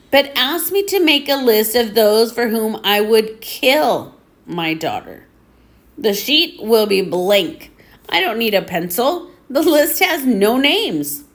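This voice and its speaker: Slow-paced woman reading devotional text aloud. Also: a little high.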